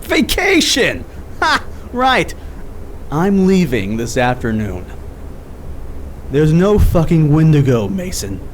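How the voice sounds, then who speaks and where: A man's voice: a little high, wildly swooping pitch, slow, pauses often, slight background noise, full character voice. An actor playing an awkward, cynical, short-tempered male investigator in a naturalistic audio drama. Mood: bitter, angry.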